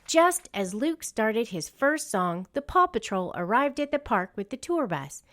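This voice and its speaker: Slow, animated woman reading a children's story. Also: fairly high pitch, a faint crowd. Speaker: storybook narrator. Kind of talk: children's read-along narration.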